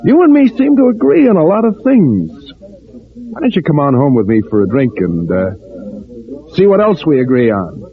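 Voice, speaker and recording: An actor playing an outspoken, scheming agitator with a chummy, colloquial manner in an old-time radio drama. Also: male voice, on the high side, sing-song, moderate pace, crowd noise. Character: scheming, outspoken agitator posing as friendly citizen. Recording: tinny, narrow-band vintage radio recording.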